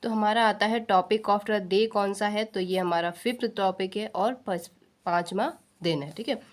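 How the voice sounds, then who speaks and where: A woman's voice: medium pitch, steady tone, unhurried. An Indian woman teaching an exam-prep science lesson, posing questions and answering them herself, repeating key points and mixing in English technical terms.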